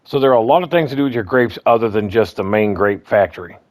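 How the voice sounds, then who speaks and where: Low-voiced man, slightly hoarse, rambling. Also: quick pace, darkish tone, no echo, studio quality. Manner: rambling, repeats himself, lots of ums and restarts. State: slightly hoarse, clearing throat. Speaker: gaming guide host. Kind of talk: casual gameplay walkthrough.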